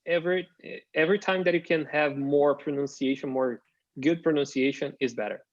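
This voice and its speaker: A man from Brazil whose first language is Portuguese, speaking halting English with a Brazilian accent as a student in a class. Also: fairly high pitch, lo-fi sound. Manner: halting, searching for words. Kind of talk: online class introduction.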